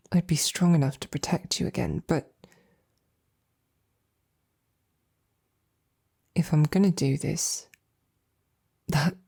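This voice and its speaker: Woman playing a soft, breathy, halting female knight. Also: low voice, exaggerated voice. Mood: earnest, tender. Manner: halting, tender, gently teasing. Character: loving, flustered female royal knight courting a princess. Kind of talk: intimate roleplay audio drama.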